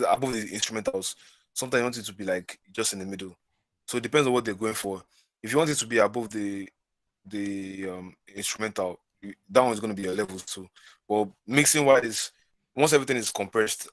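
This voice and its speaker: Fast-talking Nigerian man, lowish voice. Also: a bit bright, no room sound. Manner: fast, repeats himself while thinking. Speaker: music producer guest. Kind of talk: casual group chat.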